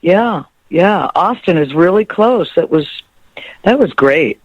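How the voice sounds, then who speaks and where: Laughing musician guest, dry close sound. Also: male voice, high voice, lively intonation, slow pace, dry recording, dull audio. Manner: rambling, laughs often, warm storytelling. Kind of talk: interview chat.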